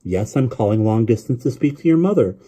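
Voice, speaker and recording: A man plays a worried mother in a storybook reading. The delivery is mellow and soft-edged, yet firm and imploring, urgent without becoming shrill. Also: lively intonation, a little fast, close to an everyday voice. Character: anxious, firm mother, adult woman, urging her son.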